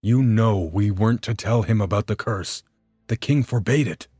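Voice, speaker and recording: A man's voice: lowish voice, moderate pace, a little mellow, husky, dry recording, clean studio sound, faint music behind. A man playing a cautious, rule-bound fairy guardian in a fan audio drama, arguing with reproach and sarcasm. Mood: disapproving.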